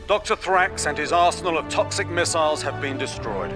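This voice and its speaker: Man acting as solemn newsreader over loud music. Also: fairly high pitch, lively intonation, a little fast, lightly acted. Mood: solemn, proud. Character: proud, solemn male news narrator of victory. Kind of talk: scripted news narration. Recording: loud game music underneath.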